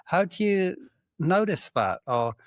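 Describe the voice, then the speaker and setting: A man's voice: high voice, sing-song, takes it slowly, no room sound, studio quality. A man co-hosting a podcast, chatting casually in a hesitant, hedging way and laughing often. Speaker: podcast co-host. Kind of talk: casual banter.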